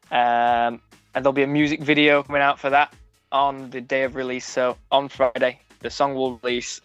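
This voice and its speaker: A man's voice: mid-range voice, fairly bright tone, clean tone, dry recording. A young man from Sheffield with a broad Sheffield accent, a musician speaking frankly in a casual radio interview, often restarting his sentences. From Sheffield, England. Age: young adult. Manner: frank, many restarts and fillers. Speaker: musician guest. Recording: dull, dry sound with music under it.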